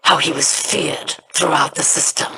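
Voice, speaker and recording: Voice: medium pitch, a little slow, pushed and bright, slight background noise, low-quality recording. A scornful alien warlord. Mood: menacing. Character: menacing alien pirate warlord, proud and scornful.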